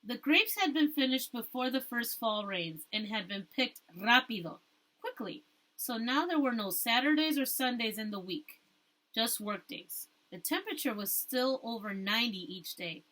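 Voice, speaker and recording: A woman teacher reading a storybook aloud, rereading stumbled phrases and pausing to explain things to her listeners. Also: fairly high pitch, animated, pushed and bright, poor audio.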